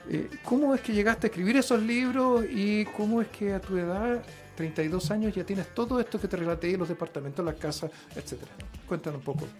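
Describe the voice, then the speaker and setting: A man hosting a radio interview, talking conversationally with many fillers and quick affirmations. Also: sits high, soft background music. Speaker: radio show host.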